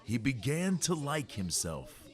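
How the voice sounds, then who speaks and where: A man reading a rhyming children's story aloud in an expressive, measured storytelling way. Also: mid-range voice. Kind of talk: rhyming scripted narration for kids.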